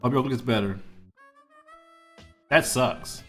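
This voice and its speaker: A man's voice: mid-range voice, measured pace, faint music behind. A man in his twenties, a streamer, chatting casually and rambling with lots of fillers and restarts while he draws on a live stream. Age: 20s.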